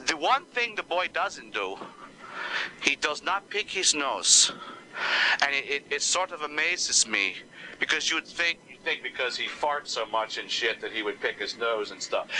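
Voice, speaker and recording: A man voicing an exasperated female Spanish teacher who swears, complains and uses broken grammar, with a low voice for a woman. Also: bright, forceful tone, slight background noise, full character voice, rough recording. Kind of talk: one-man comedy skit.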